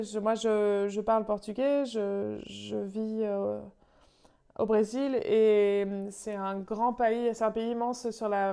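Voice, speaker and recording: Female live interpreter on a call; unhurried, halting delivery, warm clean voice. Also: mid-range voice, smooth. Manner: halting, searching for words. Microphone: video call.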